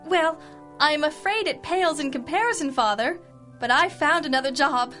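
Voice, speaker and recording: A woman playing an earnest, excitable working mother and parishioner, genteel and gushing, in a radio drama. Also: high-pitched, normal speed, soft background music. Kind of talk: 1930s-style radio drama acting.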